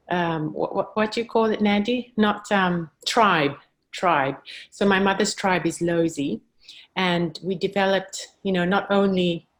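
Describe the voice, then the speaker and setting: Woman from Zambia, lowish voice, measured and reflective, searching for words. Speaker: guest. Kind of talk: casual live-stream conversation.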